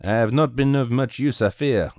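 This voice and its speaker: A man's voice: fairly low pitch, sing-song, moderate pace, a little mellow, dry recording, clean studio sound. A man voicing a small, cheerful, self-assured detective with a courteous, precise, theatrical manner, in a storybook reading. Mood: regretful, polite.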